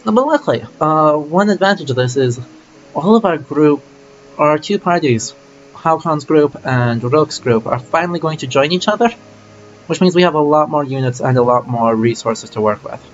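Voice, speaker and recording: A man's voice: on the high side, animated, moderate pace, lo-fi sound, soft background music. Casual male game streamer. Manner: casual, musing aloud while playing. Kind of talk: let's play commentary.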